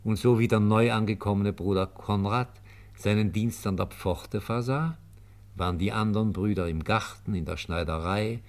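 A man's voice: lowish voice, normal speed. A man narrating a scripted radio play in a factual storytelling style.

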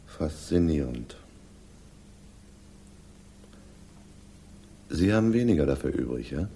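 An actor playing a self-assured, pious police inspector in a radio crime drama, measured and condescending in his authority. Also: a bass voice, big pitch swings, dark tone, slight background noise, exaggerated voice. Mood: musing. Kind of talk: 1960s German radio crime drama acting.

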